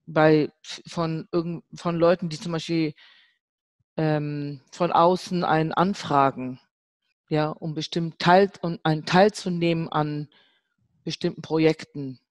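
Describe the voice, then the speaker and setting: A woman's voice: low-pitched, steady tone, a little slow, a little mellow, no room sound. A middle-aged woman thinking aloud in a panel discussion, searching and hesitant as she forms her thoughts. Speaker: artist and art professor on a discussion panel.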